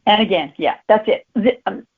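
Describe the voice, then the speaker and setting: A woman speaks as an interviewed author in a casual, conversational style. Her pitch is average for a woman. The sound is thin and band-limited, like a phone call. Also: normal speed, slightly rough. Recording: tinny phone-like line.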